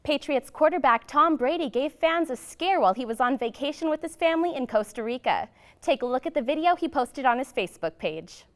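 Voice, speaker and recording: A woman's voice: high-pitched, expressive, fairly quick. A young woman delivering scripted TV news in a presentational broadcast manner, as a reporter and anchor.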